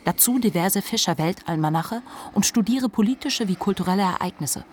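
A woman playing the introspective inner voice of a manic writer in a radio drama, telling memories in a measured way. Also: mid-range voice, animated, a little mellow, a little hiss or hum, close to an everyday voice. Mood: reflective, uneasy. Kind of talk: literary radio drama narration.

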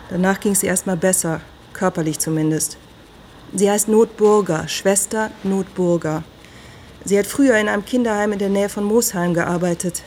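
An actress in a radio drama playing a brisk, frank hospital doctor who talks in a direct, matter-of-fact way. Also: female voice, medium pitch, a little mellow, a little hiss or hum, lightly acted.

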